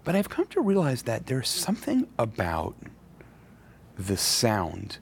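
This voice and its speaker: A man's voice: medium pitch, wildly swooping pitch, measured pace, creaky, slight background noise. A man who is a rabbi, speaking as a podcast guest in an earnest, reflective way, with the occasional wry aside. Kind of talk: thoughtful interview conversation.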